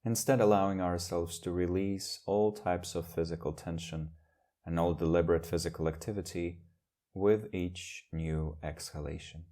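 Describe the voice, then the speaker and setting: A man leading a guided meditation as a teacher, speaking in gentle, measured phrases with long pauses. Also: sits low, steady tone.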